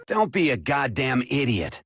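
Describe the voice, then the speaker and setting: A man's voice: mid-range voice, sing-song, unhurried, vocal fry, a bit bright, faint music behind, exaggerated voice. A man playing a brash, hot-headed, blunt young character in a voice drama. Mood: exasperated. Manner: blunt, exasperated, swearing. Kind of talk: character voice acting audition reel.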